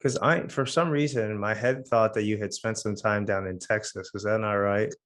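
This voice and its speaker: A young man hosts a relaxed podcast conversation, his voice hoarse after losing it recently. He talks in a low register, pausing and restarting often with plenty of fillers as he works toward his questions. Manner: halting, many fillers and restarts. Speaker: podcast host. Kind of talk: casual conversational interview.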